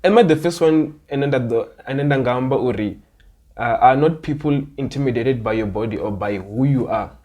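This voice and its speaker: A South African man hosting a podcast, with a mid-pitched, everyday voice. He piles on showy welcomes and keeps the interview playful and teasing. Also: moderate pace, dark tone. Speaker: podcast host. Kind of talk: casual interview chat.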